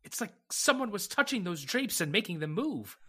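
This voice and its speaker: Man playing a brisk, bright-voiced skeptical lawyer. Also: high voice, big pitch swings, husky, exaggerated voice. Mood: alarmed. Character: brisk, skeptical male lawyer searching a haunted house. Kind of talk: old-time radio horror acting.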